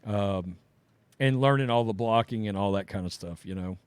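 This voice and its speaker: A middle-aged man co-hosting a casual chat show, rambling and trailing off quietly at the ends of thoughts. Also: lowish voice, moderate pace, clear, resonant tone, no echo. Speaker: podcast co-host.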